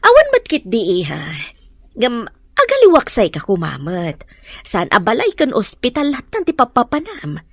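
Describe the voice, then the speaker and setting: A woman's voice: a little high, huge pitch swings, normal speed, no room sound, full character voice. A woman playing a caring, nudging middle-aged mother in an Ilocano radio-style drama, with a coaxing manner and an Ilocano Filipino accent.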